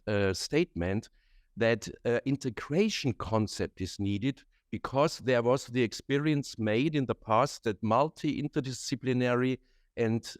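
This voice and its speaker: A man asking a question from the audience at a conference, measured and with some fillers. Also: mid-range voice, dry recording, clean studio sound.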